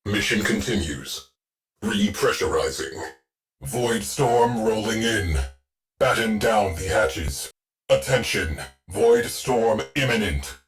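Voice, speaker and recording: An actor playing a terse, stern male shipboard AI in a video game, giving clipped, deadpan, commanding alerts. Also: fairly low pitch, slow, faint music behind. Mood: clipped, businesslike. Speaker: ship AI giving mission alerts. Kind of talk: scripted game voice lines, clipped announcements.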